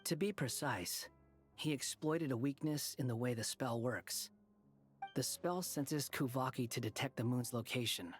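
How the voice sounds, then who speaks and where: A man voicing an aloof, cynical young wanderer in a video game, explaining in a measured, disdainful way. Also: mid-range voice, soft background music, lightly acted. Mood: grave explaining.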